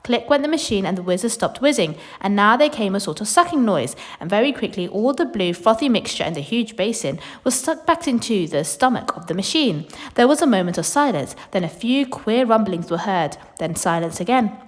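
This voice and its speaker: A woman reads a children's storybook aloud with lively, swooping intonation. Her delivery is theatrical and expressive, and the recording carries a long hall-like reverb. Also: mid-range voice, brisk. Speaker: storybook reader. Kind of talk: expressive read-aloud narration of a children's book.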